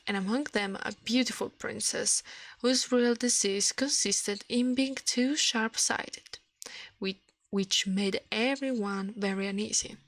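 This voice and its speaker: A woman reading a fairy tale aloud in a soft ASMR style, careful and a little halting, close to the microphone. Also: on the high side, no room sound, poor audio.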